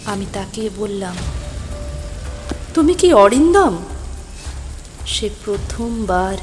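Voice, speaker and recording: A woman playing a stranded, concerned young woman who narrates her own mystery story, emotive and suspenseful, with deliberate pauses. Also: medium pitch, sing-song, soft background music. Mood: stunned, wistful. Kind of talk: scripted first-person dramatic story narration. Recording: voice over rain and thunder ambience.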